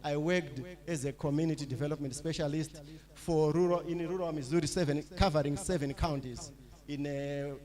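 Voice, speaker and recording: A middle-aged man from Zimbabwe giving a public lecture, talking in a halting way with many fillers and restarts. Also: fairly high pitch, fairly even intonation, dark tone. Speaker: lecturer, associate professor.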